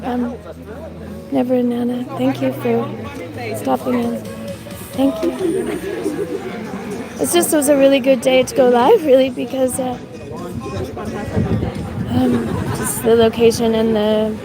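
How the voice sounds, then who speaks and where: A woman's voice: fairly high pitch, flat delivery, a little slow, pauses often, over loud music. A woman from Canada with a mixed Canadian and Irish accent, chatting on a live stream while walking outside and shivering from the cold, thanking viewers between rambling remarks with many fillers. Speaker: livestreamer. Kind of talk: casual live stream commentary. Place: outdoors on a city street. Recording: outdoor street recording with crowd.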